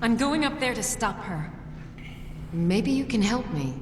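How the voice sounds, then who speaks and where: A woman's voice: on the high side, animated, unhurried. A woman playing a determined young daughter in a fantasy video game. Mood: urgent, resolute. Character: determined young woman out to stop her mother. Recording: distant, echoing hall, background noise.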